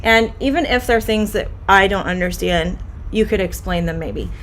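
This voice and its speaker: A woman's voice: medium pitch, normal speed, fairly bright tone, a little hiss or hum, rough recording. Woman, Midwestern American accent, middle-aged, friendly, patient, repeats key phrases. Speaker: English teacher. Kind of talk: live-streamed idiom lesson.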